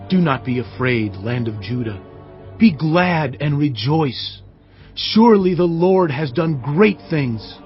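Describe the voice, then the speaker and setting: A man narrating dramatized scripture with earnest, declamatory phrasing. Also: on the high side. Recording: dull lo-fi audio. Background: faint background music.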